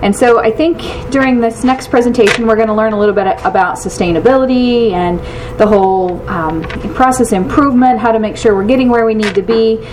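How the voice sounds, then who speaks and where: A woman hosting an event, giving a measured formal introduction of the next speaker. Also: a little high, recorded from a distance, noisy background.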